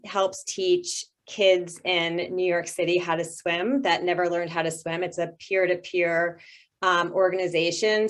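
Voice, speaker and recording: A woman's voice: on the low side, a bit bright. A middle-aged woman introducing herself as a candidate at an online parent meeting, steadily listing her experience with a few fillers. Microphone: video call.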